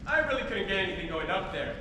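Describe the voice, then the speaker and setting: A young male high school actor playing a laid-back, aloof jazz horn player in a stage play. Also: sing-song, fast talker. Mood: offhand. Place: school theatre stage, distant microphone. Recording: echoey noisy theatre hall from far off.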